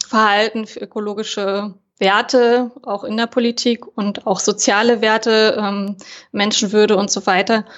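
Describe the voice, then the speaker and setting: A young woman from Germany, a podcast guest chatting casually with many hesitations and fillers. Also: mid-range voice. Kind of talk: casual interview chat. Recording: dull lo-fi sound.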